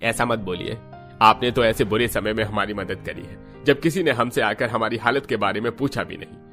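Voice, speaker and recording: A man's voice: on the low side, moderate pace, fairly bright tone, faint music behind. A man voicing a troubled, humbled rich husband in a cartoon story, speaking earnestly. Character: rich husband, worried and humbled after losing his money. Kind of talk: Hindi cartoon story dialogue.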